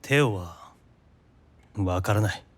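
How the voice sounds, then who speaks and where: An actor playing a composed, guarded male investigator who narrates his own mystery in an audio drama with serious, measured delivery. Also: lowish voice, no room sound. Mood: grave, restrained. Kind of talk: audio drama dialogue and inner monologue. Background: prominent music.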